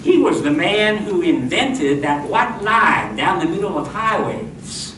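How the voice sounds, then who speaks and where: A man playing an earnest, jittery town marshal in a comic old-time radio Western, hammy and halting as he tells his tale. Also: high-pitched, big pitch swings, heavily acted. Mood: solemn. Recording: distant, echoey, noisy live stage recording.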